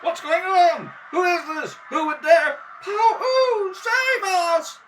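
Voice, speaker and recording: A man voicing a pompous, merciless emperor in a radio space serial, shrill and imperious. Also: slow pace, a bit bright, raised voice, far from the mic, a little hiss or hum, full character voice, low-quality recording. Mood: outraged panic. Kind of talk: old-time adventure radio serial acting.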